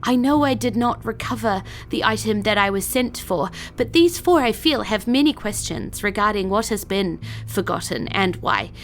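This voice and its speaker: A woman voicing a stocky cat-folk agent who speaks softly and formally, with earnest deference, in a fantasy audio drama. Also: medium pitch, animated, normal speed, few pauses, faint music behind, close to an everyday voice. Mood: earnest appeal. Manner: soft, formal, pleading.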